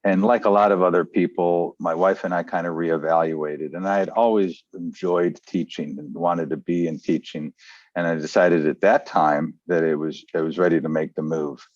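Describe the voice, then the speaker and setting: A middle-aged man with a very low voice reflects on his life as a podcast guest. The audio is dull and lo-fi. It is dry, with no room sound. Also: fairly even intonation, normal speed, darkish tone, no echo. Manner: reflective storyteller. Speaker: educator and entrepreneur.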